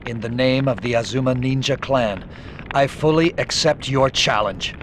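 A man voices a stern ninja assassin of a video game, formal and solemn. His middle-pitched voice carries a code of honor, and clear noise and ambience sit behind it. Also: moderate pace, natural voice. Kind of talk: video game cutscene acting.

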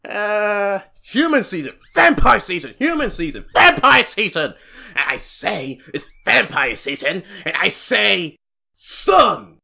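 A voice actor plays out cartoon skits in a theatrical, exaggerated way. The voice is bright and swoops widely, at an unhurried pace. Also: mid-range voice, big pitch swings, vocal fry. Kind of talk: voice acting audition reel of cartoon skits.

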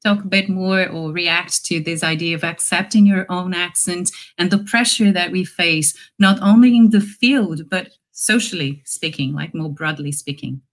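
A woman in her thirties from northeastern Brazil with a Brazilian accent, moderating a live online Q&A through a headset in a calm, measured way. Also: lowish voice. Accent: Brazilian Portuguese. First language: Portuguese. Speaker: webinar moderator. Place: home room, indoors.

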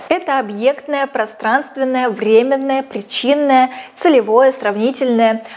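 A young woman presenting a scripted educational lesson in a clear, measured teacherly way. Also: fairly high pitch, darkish tone. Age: young adult. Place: small indoor studio set, seated. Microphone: lapel mic.

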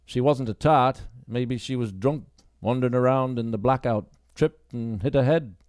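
A man's voice: medium pitch, moderate pace, darkish tone, clean tone, no echo, clean studio sound, lightly acted. A man voicing a brusque, dismissive wartime policeman in a mystery story reading. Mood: matter-of-fact. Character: dismissive, matter-of-fact policeman in wartime northern England.

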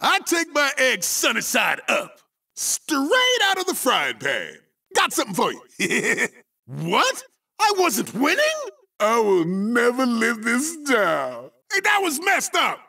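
A man voicing an excitable, boastful chicken sportscaster for a video game, hammy and shouting, with a gravelly voice. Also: very high voice, slow pace, far from the mic, exaggerated voice. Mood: indignant. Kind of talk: exaggerated video game character barks.

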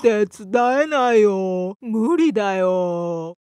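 A woman's voice: medium pitch, lively intonation, very slow, a little mellow. A woman voicing a lazy, sluggish male pig with a drawling delivery in a children's cartoon.

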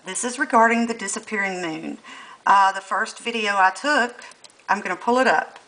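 A woman's voice: mid-range voice, normal speed, bright, forceful tone, poor audio. A woman with a Southern US accent filming her computer screen and talking insistently to her viewers. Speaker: vlogger. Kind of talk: casual monologue. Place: indoors at a computer.

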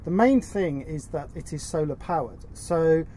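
A man's voice: a little high, expressive, measured pace, mellow, a little hiss or hum. A middle-aged man co-hosting a sailing vlog, chatting enthusiastically about gear in a boat cockpit outdoors. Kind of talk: casual chat presenting gear. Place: cockpit of a sailboat at anchor, outdoors.

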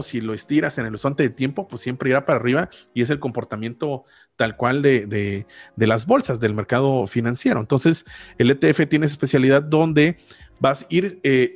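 A man in his thirties with a Mexican accent, explaining things casually as a podcast host, often correcting himself as he goes, close on a studio microphone. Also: medium pitch. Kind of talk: casual explanatory finance talk. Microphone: large studio microphone on a boom arm, close.

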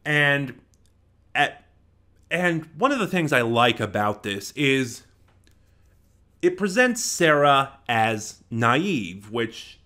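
A man in his twenties with a bright, forceful voice at a middling pitch talks through a review without a script. He halts to think aloud and often corrects himself. He speaks into a desk microphone in a small room at home. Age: 20s. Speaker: audio drama reviewer. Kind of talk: unscripted review to camera. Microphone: desk microphone close in front.

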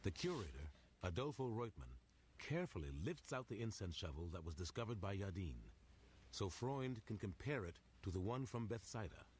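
A man reading scripted documentary narration in a measured, storytelling way. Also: lowish voice, very animated, a little hiss or hum. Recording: narration over loud music.

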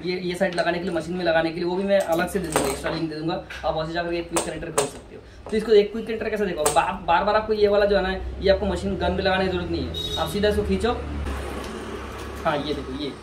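A man in his thirties gives a casual, hands-on product demonstration. His voice sits a little high and he often repeats himself as he works. He is picked up from some distance on an echoey porch, with clear background noise. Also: fast talker. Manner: informal, hands-on, repeating himself while handling items. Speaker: product reviewer. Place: outdoor porch by house steps. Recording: roomy, distant mic, clearly noisy, clicks.

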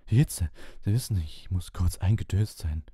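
A man voicing a dreamy, airy older female divination teacher in an audiobook, with a gentle, cooing, coaxing manner. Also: low-pitched, unhurried, slightly rough, dry recording, clean studio sound, full character voice. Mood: gentle, apologetic.

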